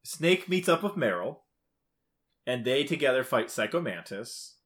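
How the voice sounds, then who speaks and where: Man, podcast co-host, bright forceful voice, casual recap style, emphatic clipped phrasing. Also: mid-range voice, very animated, a little slow. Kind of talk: casual video game plot recap.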